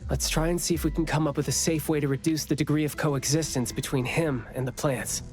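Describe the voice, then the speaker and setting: A man's voice: a little high, steady tone, brisk, music in the background, natural voice. An actor playing a glib, analytical young male investigator with a teasing wit in a video game. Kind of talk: English video game voice acting.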